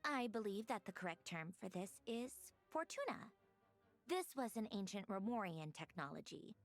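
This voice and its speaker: A woman's voice: medium pitch, lively intonation, normal speed, faint music behind, close to an everyday voice. A woman voicing a studious, analytical young scholar in a video game, precise and bookish as she thinks aloud. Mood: absorbed, analytical. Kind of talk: animated video game voice acting.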